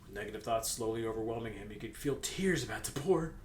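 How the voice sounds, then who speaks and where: A man's voice: animated, fast. A man reading fan fiction aloud as a comedy bit, in a playful manner. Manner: playful, breaks into laughter mid-sentence. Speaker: reader, co-host. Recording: roomy echo, noisy background with rumble.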